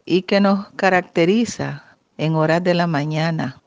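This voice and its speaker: A Miskito-speaking woman from Nicaragua, answering interview questions in an earnest, deliberate way. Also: fairly low pitch, darkish tone, dry recording. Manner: earnest, deliberate, frequent "¿verdad?" tag. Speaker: guest. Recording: muffled lo-fi audio.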